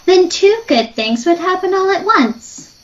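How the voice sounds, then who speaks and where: A woman's voice: very high voice, big pitch swings, a little slow, exaggerated voice. A woman playing an earnest, easily spooked sister who chides and scolds in an audio drama. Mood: chiding. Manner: chiding. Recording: dull lo-fi audio, faint noise.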